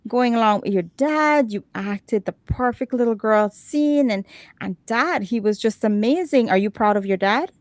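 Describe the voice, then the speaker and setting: A woman's voice: a little high, moderate pace, no room sound. A woman hosting a talk show, chatting casually with her guests and repeating words as she starts sentences. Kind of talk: casual interview chat.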